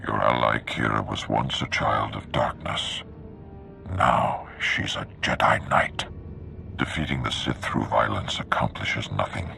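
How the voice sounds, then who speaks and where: Casting: commanding Jedi master, game cutscene. Very deep, bright and forceful, measured oratory. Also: male voice, steady tone, soft background music, heavily acted. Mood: solemn, assured. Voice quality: gravelly. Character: commanding, serene old Jedi master with stubborn faith. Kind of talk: cinematic video game acting.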